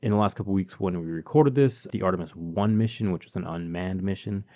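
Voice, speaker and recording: A man's voice: deep voice, a little fast, warm tone, highs cut off. A man with a Canadian accent co-hosting a podcast, explaining things in a chatty way with many ums and you-knows. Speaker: podcast co-host. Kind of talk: casual podcast chat.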